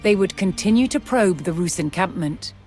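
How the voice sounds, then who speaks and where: A woman delivering scripted, documentary-style game narration in a measured, solemn storytelling manner. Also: lowish voice, animated. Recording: music bed behind the narration.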